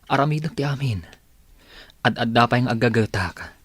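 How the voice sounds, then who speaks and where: A man with an Ilocano Filipino accent and a husky voice, playing a pleading, persistent young suitor in a radio drama. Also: mid-range voice, expressive, moderate pace, a bit bright, no room sound. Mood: persuasive.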